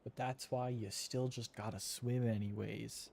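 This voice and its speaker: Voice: mid-range voice, fairly even intonation, unhurried, creaky, darkish tone, a little hiss or hum. Casual game streamer with quippy asides. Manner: informal, quippy asides, reads choices aloud. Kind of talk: casual joking reactions to a video game.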